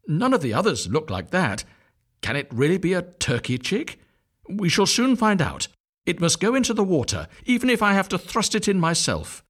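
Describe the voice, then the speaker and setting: A worried mother duck in a children's storybook, chirping high and anxious as she fusses over her brood, put on by a man. Her voice swings widely and carries a husky, breathy edge. It is gentle storytelling, not a cartoon shriek. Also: wildly swooping pitch, normal speed, full character voice. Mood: fretting. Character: fretful mother duck hatching eggs.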